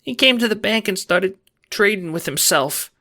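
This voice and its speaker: A timid little crocodile clerk, pitched very high for a storybook tale. He stammers and pleads in a choked, frightened way, pushing his words out loudly. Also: lively intonation, normal speed, exaggerated voice. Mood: frightened, meek.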